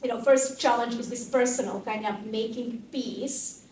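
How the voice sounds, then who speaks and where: A woman's voice: fairly high pitch, normal speed. Woman, 30s, from Croatia, activist interviewee, chatty and searching, off-mic with roomy echo and light hiss. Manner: animated, thinking aloud with many fillers. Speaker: interview guest, fashion activist. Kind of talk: conversational interview answers. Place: conference venue. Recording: distant mic in an echoey room with faint noise.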